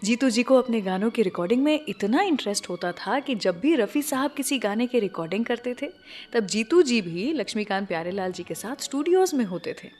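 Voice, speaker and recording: A woman's voice: a little high, expressive, brisk, a little mellow, faint music behind. A woman hosting a radio show, presenting in a conversational way and speaking directly to listeners. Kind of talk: scripted radio show presenting with film music trivia.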